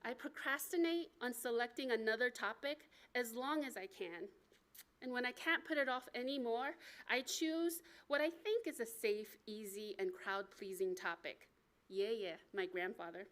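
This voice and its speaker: A woman with an American accent and a fairly high voice reads her own memoir aloud at a live book event. Her delivery is expressive and deliberate, like an author telling a personal story to listeners. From United States.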